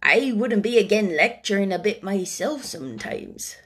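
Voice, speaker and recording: A woman's voice: medium pitch, expressive, moderate pace, a bit bright, lightly acted. A woman voicing a blunt but affectionate old Yorkshire working man, in dry, plain-spoken dialect. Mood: dry, wry.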